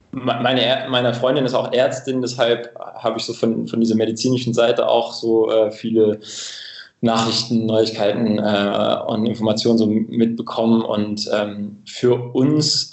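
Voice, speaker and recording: A man's voice: lowish voice, creaky. A man from England, a native English speaker with an English accent, chatting casually and hesitantly as a podcast guest. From Cambridge, England. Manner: hesitant, searching for words. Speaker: podcast guest, company spokesman. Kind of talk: casual interview chat. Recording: roomy, echoing remote connection.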